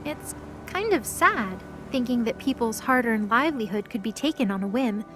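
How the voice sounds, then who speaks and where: A woman's voice: on the high side, animated, normal speed, over music, lightly acted. A woman narrator reading scripted third-person narration for an audio drama.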